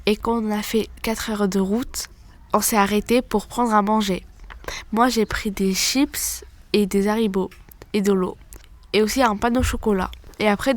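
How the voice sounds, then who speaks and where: A woman's voice: medium pitch, steady tone, normal speed. A teenage girl from Armenia reading her own account aloud, plain and matter-of-fact. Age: teens. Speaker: student reading her text. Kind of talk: read-aloud personal account.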